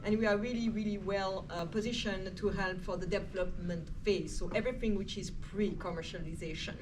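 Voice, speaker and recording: A woman's voice: medium pitch, steady tone, brisk. A woman from Switzerland with a French accent, speaking as a panelist with frequent hesitant fillers. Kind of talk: panel introduction. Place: conference panel stage. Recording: noisy distant room mic.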